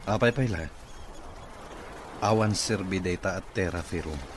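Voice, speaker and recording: Deep-voiced, bitter Ilocano boss. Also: male voice, unhurried. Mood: bitter, resigned. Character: curt, bitter wealthy boss in a wheelchair. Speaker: radio drama actor. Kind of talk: Filipino radio drama acting. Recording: noisy radio drama with background ambience.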